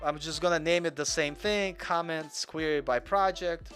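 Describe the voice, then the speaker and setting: A young man from Russia explains his code in a relaxed, chatty way, wandering off on small tangents and poking fun at himself. His voice sits a little high for a man. Soft music plays faintly underneath. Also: normal speed. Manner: chatty, rambling, self-deprecating. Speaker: coding tutor. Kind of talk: casual live coding walkthrough.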